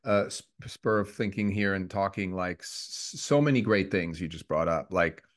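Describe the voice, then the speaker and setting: A man in his fifties from Switzerland, co-hosting a podcast in a reflective, halting way with many restarts. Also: deep voice. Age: 50s. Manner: reflective, restarts often, lots of fillers. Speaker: podcast co-host. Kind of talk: casual conversational podcast.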